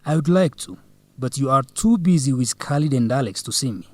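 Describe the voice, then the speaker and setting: A man's voice: medium pitch, expressive, a little fast, no room sound, natural voice. A man acting as a hurt, jealous young student boyfriend in a radio drama, earnest and reproachful. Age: young adult. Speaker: actor in an educational radio drama.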